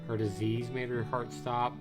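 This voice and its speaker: A man voicing a gentle young father who patiently consoles his son, reading visual novel dialogue. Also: mid-range voice, flat delivery, moderate pace, natural voice. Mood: consoling. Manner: patient, soothing explaining. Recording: music behind the voice. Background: guitar music.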